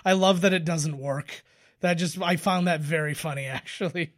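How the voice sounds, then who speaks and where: A man with a slightly high voice talks casually as a podcast co-host watching a movie. He comments as things happen and drops the odd swear word into the chat. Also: normal speed.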